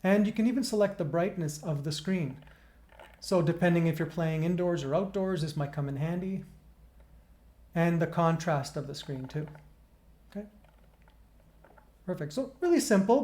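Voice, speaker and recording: Upbeat man with a bassy voice. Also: normal speed, long pauses, warm tone. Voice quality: deep bassy voice. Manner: upbeat, chatty, explains gear step by step. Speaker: gear reviewer and channel host. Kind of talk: casual product review.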